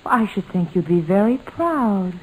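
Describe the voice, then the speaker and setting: An actress playing a gentle, patient, motherly woman in an old-time radio sitcom, speaking in a soft, measured, earnest way. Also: medium pitch, big pitch swings, slightly rough. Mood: gentle, reassuring. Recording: noisy vintage radio recording.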